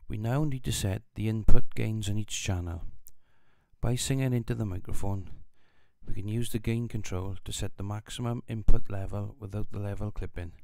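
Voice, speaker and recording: Low-voiced man narrating a tutorial. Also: steady tone, normal speed, vocal fry, mellow, no echo. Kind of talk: scripted narration.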